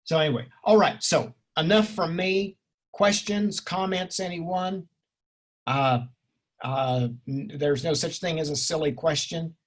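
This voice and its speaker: A male college instructor talking informally with a class in a halting, rambling, encouraging way. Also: a little high. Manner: encouraging, reassuring. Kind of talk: informal class question-and-answer.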